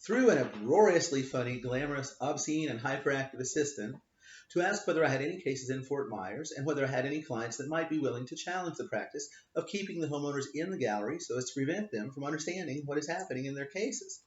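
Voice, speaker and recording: A man's voice: medium pitch, expressive, fast. A man, a lawyer, reading his own book aloud in a wry, offhand way, stressing the punchlines. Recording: roomy, dull lo-fi home recording.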